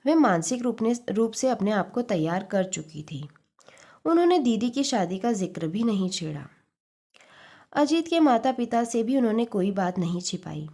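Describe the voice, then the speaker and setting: A woman's voice: medium pitch, mellow. A woman reading a short story aloud in a scripted audiobook narration style.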